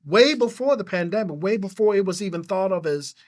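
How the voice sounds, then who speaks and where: A man's voice: sits high, lively intonation, fairly quick. A man hosting a school interview, effusive and full of praise and affirmations. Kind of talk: interview host.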